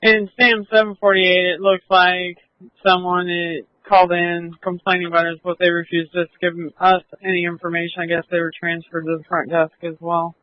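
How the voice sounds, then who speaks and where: A man's voice: sits high, monotone, a little fast, no echo. A man working as a police dispatcher, giving procedural updates over a police radio. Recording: dull lo-fi police radio audio.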